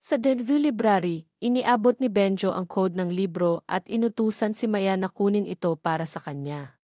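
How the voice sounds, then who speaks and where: A woman's voice: medium pitch, normal speed, mellow, dry recording, studio quality. A woman with a Filipino accent reading a scripted romance story as its narrator.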